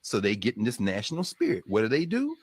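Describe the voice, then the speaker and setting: Husky, animated man telling it big. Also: quick pace, dry recording. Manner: animated storyteller, rhetorical questions, swinging pitch. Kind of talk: casual chat.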